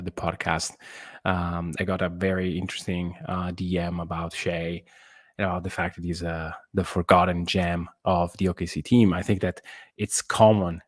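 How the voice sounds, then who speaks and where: A man with a low voice talks through basketball as a podcast co-host. He searches for his phrasing in slightly non-native English, and the sound is close and dry. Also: no room sound. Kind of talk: casual basketball analysis.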